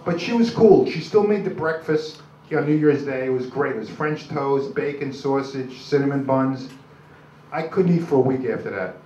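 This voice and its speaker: An older man with a New York accent does halting, self-deprecating, rambling stand-up comedy. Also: mid-range voice, lively intonation, mellow, far from the mic. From Brooklyn, New York, USA. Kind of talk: live stand-up comedy, crude jokes. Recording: dull, noisy, echoey club recording from afar.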